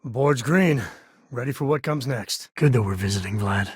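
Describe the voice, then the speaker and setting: An American actor, a middle-aged man, playing an easygoing, wry space explorer and ex-ranger in a video game, with a husky, folksy drawl. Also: mid-range voice, moderate pace, no room sound, clean studio sound, natural voice. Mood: matter-of-fact. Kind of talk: video game voice acting, naturalistic dialogue lines.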